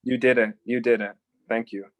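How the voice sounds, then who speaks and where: A man on a panel, speaking in a plain, steady way. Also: medium pitch, a little slow, narrow, tinny audio.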